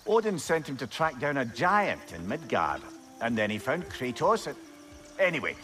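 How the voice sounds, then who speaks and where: An actor playing a wry old sage who lives as a talking severed head, speaking dry and sardonic. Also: male voice, medium pitch, very animated, moderate pace, pushed and bright, soft background music. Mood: chatty. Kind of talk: video game cutscene acting. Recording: game audio with noisy ambience.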